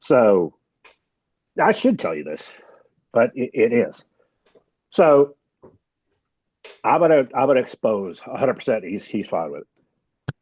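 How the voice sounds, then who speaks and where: A man chatting candidly as a podcast guest, often restarting his thoughts with repeated words. His voice comes through dull and lo-fi, with a dry sound and no room echo. He sits at a middle pitch for a man. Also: very animated, takes it slowly, long pauses, darkish tone. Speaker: guest, wrestling producer and manager. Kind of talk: casual podcast chat.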